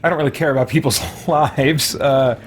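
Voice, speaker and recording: A man's voice: medium pitch, fairly even intonation, normal speed. A man streaming a game, talking casually through his choices as he plays. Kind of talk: casual gameplay commentary. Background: game music.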